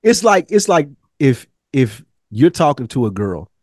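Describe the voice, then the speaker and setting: A man with an American accent chatting casually as a podcast co-host, telling things in a slangy, profane, animated way. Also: mid-range voice, measured pace, no room sound, clean studio sound. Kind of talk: casual TV review podcast chat.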